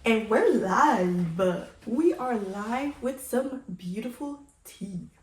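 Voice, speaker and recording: Slow-talking young-sounding higher-pitched woman, slightly raspy. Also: lively intonation, a little mellow. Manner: slangy, playful, peppered with swearing and emphatic catchphrases. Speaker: vlogger hosting a sip-and-chat video. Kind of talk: casual rambling chat to viewers. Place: indoors at home. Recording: roomy, echoey home sound.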